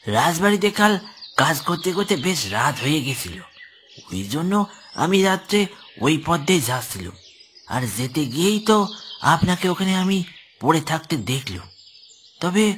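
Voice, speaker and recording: A humble, deferential village worker, voiced by a man in a horror cartoon, with a raised pitch that swings widely. He speaks politely and explains at length, with faint noise in the background. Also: big pitch swings, normal speed, long pauses, fairly bright tone. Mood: explaining, curious. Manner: humble, explaining, addresses others as sir.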